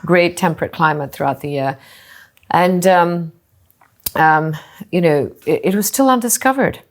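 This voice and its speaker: Low-voiced woman from Singapore, musing. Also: measured pace. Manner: thoughtful, with frequent "you know" and restarts. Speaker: hotel group co-founder and CEO. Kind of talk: conversational interview answers.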